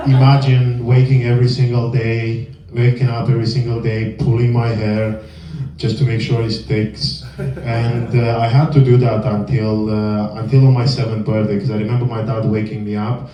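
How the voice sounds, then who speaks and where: A young man from northern Romania with a Romanian accent, performing a halting, filler-heavy deadpan stand-up set in a small comedy club. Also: medium pitch, far from the mic, a crowd in the background. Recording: echoey room, heard from afar, dull sound. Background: audience laughter.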